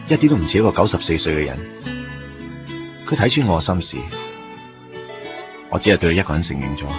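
A man playing a soft-hearted, sardonic young lover in a Hong Kong Cantonese radio drama, in an understated way. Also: low voice. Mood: wistful narration. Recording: muffled, guitar music underneath.

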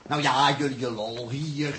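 An actor playing an excitable, defensive male spacecraft tinkerer in a 1960s radio drama, urgent and insistent, with a Netherlands Dutch accent. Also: medium pitch, slow pace. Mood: dismissive, intent. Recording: noisy old radio drama recording.

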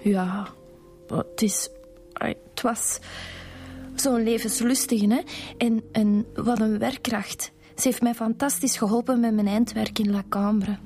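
A woman's voice: a little high, fairly even intonation, measured pace, warm tone, faint music behind. A young woman with a Flemish accent playing a weary, protective student daughter in a radio drama, hesitant and subdued. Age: young adult.

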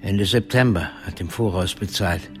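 An actor playing a laconic, world-weary, plain-spoken man with a husky voice in radio drama dialogue. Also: sits low, normal speed, natural voice. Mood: musing. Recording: music under the voice. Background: music.

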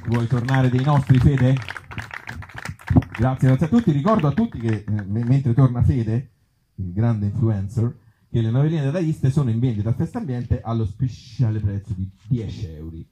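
A man's voice: medium pitch, expressive, normal speed, background noise. A man with a Tuscan accent, an author and actor performing and chatting live in a wry, self-mocking way with hesitant asides. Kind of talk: live reading of absurd comic pieces and casual talk with audience. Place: outdoor festival event. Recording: muffled, dull live recording.

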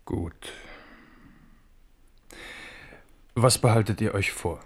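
An embattled king with a low voice. He is proud and wry, putting his questions with pointed irony and scepticism, in the style of a radio play. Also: normal speed, breathy edge. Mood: probing. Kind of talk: German radio play acting.

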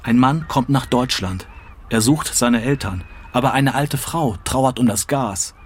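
A man giving a measured, expressive reading of literary texts. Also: medium pitch, a little hiss or hum. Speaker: reader. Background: music.

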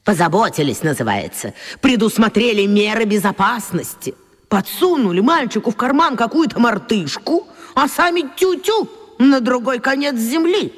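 A woman's voice: a little high, very animated, normal speed, big-room sound. A woman playing a disapproving, sarcastic elderly pensioner in a children's radio drama. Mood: reproachful. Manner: sarcastic, reproachful.

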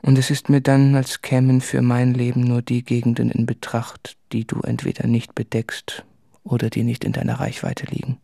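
An actor playing an anguished, self-doubting man who speaks in a brooding, deliberate, confessional way in a literary radio play. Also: medium pitch, monotone, vocal fry, warm tone, close to an everyday voice. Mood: bleak, measured. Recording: music under the voice.

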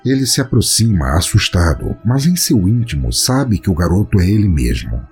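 A man with a Brazilian Portuguese accent narrates a scripted horror story in a measured, solemn, dramatic way. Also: sits low, very animated, a little mellow, faint music behind. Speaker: podcast narrator.

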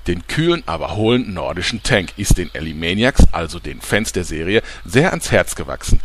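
Man acting a deep-voiced, theatrical TV reporter. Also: very animated, moderate pace, slight background noise. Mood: sensational, dramatic. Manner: dramatic announcer delivery with embarrassed stammers. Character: pompous sleazy male TV reporter, easily flustered. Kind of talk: mock investigative report in an audio parody.

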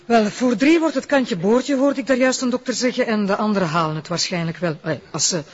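A woman's voice: a little high, brisk, natural voice. A woman playing a self-assured, wry colleague of a police commissioner, with a Flemish accent and an informal, teasing manner. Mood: grave. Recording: slightly dull television drama sound.

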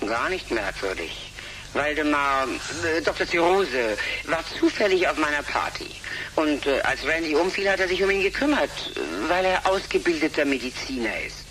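A man's voice: a little high, expressive, moderate pace, natural voice. Actor doing slick sarcastic guy, evasive, voice jumps around a lot, radio drama w/ noise. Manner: sarcastic, dismissive, glib. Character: slick, evasive, condescending well-off male client.

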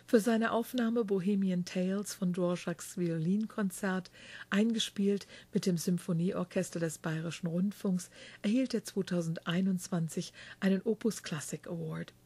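Mellow, husky-voiced German woman host. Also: lowish voice, normal speed. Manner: chatty, warm-hearted reading with small slips and self-corrections. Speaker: radio host. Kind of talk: reading a guest biography, then interviewing. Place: backstage dressing room.

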